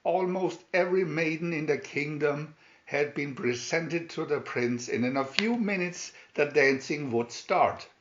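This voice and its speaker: A man's voice: fairly high pitch, unhurried, fairly bright tone, highs cut off, a little hiss or hum. A man narrating a fairy tale aloud from a storybook in a dramatic style.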